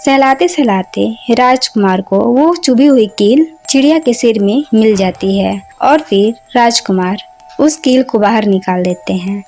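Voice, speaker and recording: A woman narrating a bedtime fairy tale in a steady, even voice pitched slightly high. She delivers plain storytelling over quiet background music. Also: unhurried.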